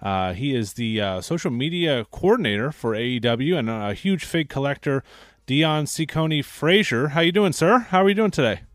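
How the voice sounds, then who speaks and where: A man's voice: mid-range voice, expressive, normal speed, a bit bright, no room sound, clean studio sound. A young man hosting a casual podcast interview, asking friendly, rambling questions full of fillers. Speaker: podcast host.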